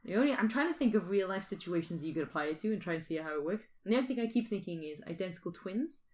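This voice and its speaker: A woman co-hosting a podcast, musing out loud in casual, teasing back-and-forth chat. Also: on the low side, lively intonation, fast. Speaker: podcast co-host.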